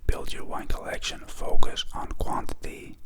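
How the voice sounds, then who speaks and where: Whispering man, ASMR. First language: Greek. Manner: soft unhurried whisper with many fillers. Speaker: ASMR channel host.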